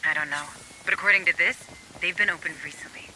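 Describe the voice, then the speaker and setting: An actor playing a pragmatic administrator turned activist who guides marines in a video game, earnest and commanding. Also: male voice, high voice, fast talker, pushed and bright, slight background noise, low-quality recording. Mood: calculating. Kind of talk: video game cutscene and mission dialogue acting. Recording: game music behind the voice.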